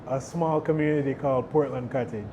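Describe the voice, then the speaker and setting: A young man, a musician, giving relaxed, thoughtful interview answers and often restarting his words. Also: mid-range voice, a little fast, dull audio, a little hiss or hum. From Jamaica. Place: outdoor stage.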